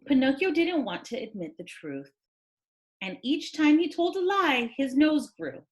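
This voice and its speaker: Lady teacher doing storytime for kids, highish voice, really swoopy and expressive. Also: a little slow. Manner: expressive, dramatic storytelling for children. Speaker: teacher reading aloud to pupils. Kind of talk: animated children's story read-aloud.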